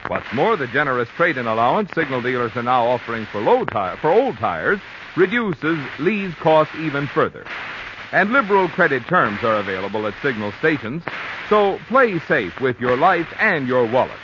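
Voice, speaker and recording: A man's voice: mid-range voice, big pitch swings, normal speed. A man reading a scripted commercial as a 1940s radio announcer, with emphatic sales delivery. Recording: noisy muffled vintage radio recording.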